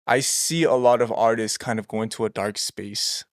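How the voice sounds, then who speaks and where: A man who sings in a pop group, a guest talking in relaxed casual chat and explaining things at length. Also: on the low side, normal speed, dry recording, clean studio sound. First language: English.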